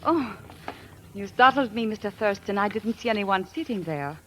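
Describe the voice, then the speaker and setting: An actress playing an alluring, mysterious princess in a vintage radio drama, with a coy, theatrical manner. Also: female voice, mid-range voice, expressive, normal speed, lightly acted. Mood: coy, seductive. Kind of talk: 1940s-50s American radio acting. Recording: noisy vintage radio recording.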